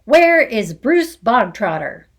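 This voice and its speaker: A woman voicing a cruel, domineering headmistress who barks and booms insults. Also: big pitch swings, slow pace, creaky, full character voice. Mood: shouting furious. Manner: barking, booming insults. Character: bullying hulking headmistress, loud and cruel.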